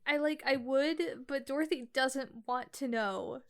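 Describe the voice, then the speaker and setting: A woman's voice: high voice, a little slow. A woman chatting casually as a player in a tabletop roleplaying game.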